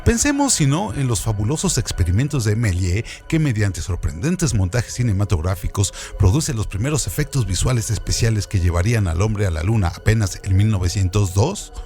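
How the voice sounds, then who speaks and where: A man's voice: fairly low pitch, animated, fairly quick, hardly any pauses, warm tone, soft background music. A man co-hosting a literary radio show, reading scripted cultural analysis in a rhetorical, expository manner.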